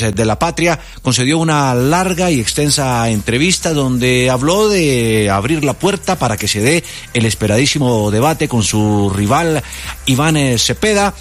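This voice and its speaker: Colombian radio news anchor, man. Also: medium pitch. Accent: Colombian Spanish. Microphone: studio microphone.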